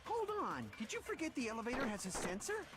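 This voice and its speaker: An actor playing a brash, excitable young male student in an anime video game, arguing emphatically. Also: mid-range voice, fast, over music. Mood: indignant. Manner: emphatic, argumentative.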